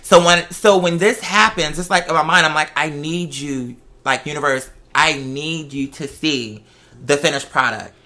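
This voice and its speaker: Bright-voiced host in casual, storytelling chat. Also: mid-range voice, normal speed. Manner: animated storytelling, explains with examples and acted-out quotes.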